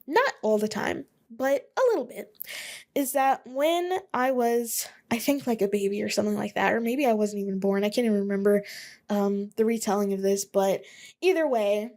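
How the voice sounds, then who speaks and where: A woman's voice: medium pitch, normal speed, darkish tone. A young woman from Alabama hosting her own podcast, rambling chattily with lots of fillers. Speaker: podcast host. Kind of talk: casual solo podcast monologue.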